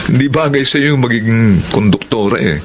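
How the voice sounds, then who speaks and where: A man's voice: medium pitch, normal speed, natural voice. A man with a Filipino accent playing a protective, jealous young boyfriend in a TV drama. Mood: playful wooing. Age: young adult. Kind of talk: TV drama acting. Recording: noisy location sound.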